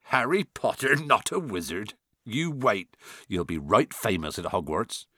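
A man voicing a big, gruff, warm-hearted giant gamekeeper with rustic colloquial speech, in an audiobook. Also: lowish voice, very animated, unhurried, no echo, studio quality, exaggerated voice. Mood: amused, proud. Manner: rustic colloquial speech, hesitant mumbles, growling and thundering. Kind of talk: audiobook character acting.

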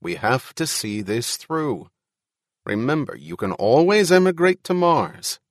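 A man's voice: mid-range voice, sing-song, measured pace, no room sound, studio quality, pitched above the performer's own voice. A man voicing a dogged, practical male musician in an audiobook, curt and insistent.

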